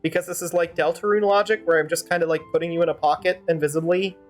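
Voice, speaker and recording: A woman's voice: on the low side, fairly even intonation, quick pace. A woman streaming a game, improvising fan-dub commentary in a wry, sardonic, rambling way. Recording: faint game music behind. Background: faint game music.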